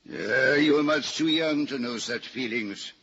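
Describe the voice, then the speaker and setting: An actor playing a grumpy but tender-hearted old banker of nearly eighty in an old-time radio drama. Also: male voice, medium pitch, takes it slowly, bright, forceful tone, husky, heavily acted. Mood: wistful. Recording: dull, hissy old radio recording.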